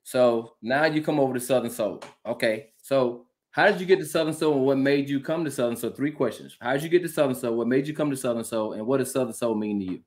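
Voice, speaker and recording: A man's voice: mid-range voice, fast, hardly any pauses, darkish tone. A man hosting a casual interview, teasing his guest and repeating his questions. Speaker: interviewer, host.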